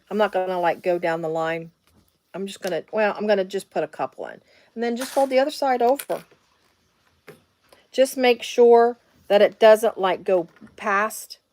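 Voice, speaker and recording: A woman's voice: medium pitch, moderate pace, darkish tone, no echo. A middle-aged woman, hosting a casual live sewing demonstration from her home sewing room and rambling into side stories with lots of fillers. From Midwestern US. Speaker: sewing tutorial host.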